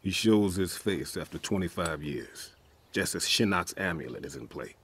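A man playing a gruff, guarded retired soldier turned farmer in a video game, husky, terse and skeptical. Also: low voice, lively intonation, normal speed, fairly bright tone, full character voice. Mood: grave. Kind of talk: video game cutscene acting.

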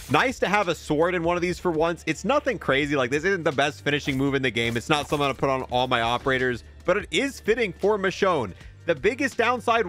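A man's voice: on the high side, fairly bright tone. A man giving casual, chatty and opinionated review commentary over video game footage, with playful exclamations.

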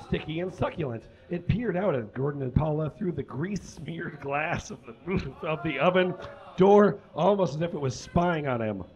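A man's voice: on the high side, lively intonation, moderate pace, darkish tone, muffled recording. A young man reading a story aloud on a small stage in a theatrical, mock-serious comic style with ad-libbed asides, picked up by a camera a few metres away. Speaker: live comedy reader. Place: small indoor stage with curtains.

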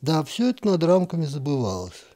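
Male narrator, wry and ironic, warm mellow voice, pitch moves a lot as he reads the story. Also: sing-song, brisk. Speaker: narrator reading a short story. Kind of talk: expressive literary reading.

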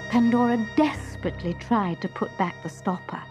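A woman reading a scripted children's story in an expressive, deliberate manner, hushed at times. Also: medium pitch, music in the background. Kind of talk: scripted dramatic story narration.

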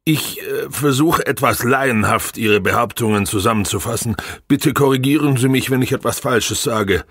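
A man voicing a probing, deliberate male company chairman in an audiobook. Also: mid-range voice, a bit bright, breathy edge, dry recording, clean studio sound, voice pushed up. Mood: questioning.

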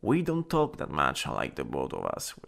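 A man playing a shy, lovestruck coworker in an intimate boyfriend ASMR roleplay, speaking softly and hesitantly, at times nearly whispering. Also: a bass voice, huge pitch swings, full character voice. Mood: vulnerable, wistful. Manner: hesitant, halting, breathy drops into near-whisper. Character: shy lovestruck male coworker confessing to his crush.

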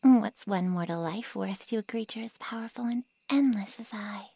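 A woman playing a haughty, callous ancient vampire in an ASMR roleplay drama, with mocking sarcasm and a breathy drawl. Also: mid-range voice, moderate pace, dark tone, no echo. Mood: dismissive.

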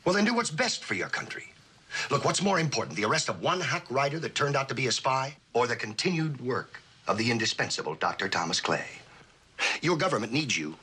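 An actor playing a slick, persuasive American spy who talks insistently in an old suspense drama. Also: male voice, medium pitch, sing-song, sharp tone, slightly rough, full character voice. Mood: urgent, pressing. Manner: insistent, fast-talking persuasion. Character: slick persuasive male spy pressuring a scientist. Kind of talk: mid-century American suspense drama acting. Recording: old broadcast audio with music behind.

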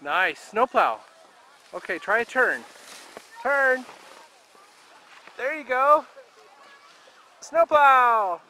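A man's voice: extremely high pitch, big pitch swings, drawn-out words, pauses often, bright, forceful tone. A man in his forties, a father filming his family at a small snowy ski hill, talking in a chatty, upbeat way and coaching a child along. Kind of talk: casual home video commentary. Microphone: handheld camcorder at arm's length. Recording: noisy outdoor camcorder audio.

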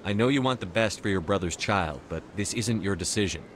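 An actor playing a calm soldier hero in his thirties in a video game, mediating in a direct, diplomatic way. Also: fairly low pitch, a little fast, slight background noise, natural voice. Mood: firm. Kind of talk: video game dialogue acting.

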